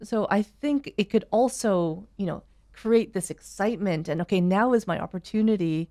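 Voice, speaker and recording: Female host, Canadian accent, mid-range pitch, upbeat and conversational, keen on the sport. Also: normal speed, mellow. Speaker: host of a daily sports show. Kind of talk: casual panel chat.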